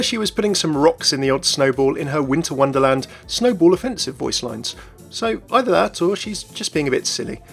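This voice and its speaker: Gaming news host, male, slightly high voice, scripted commentary with music underneath. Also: a bit bright.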